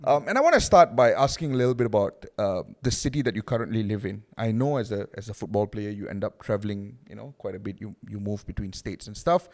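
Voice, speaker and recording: A man's voice: lowish voice, lively intonation, fast, few pauses. A man with a Malaysian accent hosting a casual sit-down interview in a chatty, encouraging way. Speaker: podcast host.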